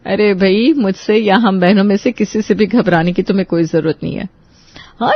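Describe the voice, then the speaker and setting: समझदार, ख्याल रखने वाली बड़ी दीदी महिला की आवाज़. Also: female voice, lowish voice, slightly rough, muffled recording. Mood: reassuring. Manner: reassuring, gently scolding. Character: caring, sensible, devout elder sister of the household. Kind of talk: Hindi audio family drama.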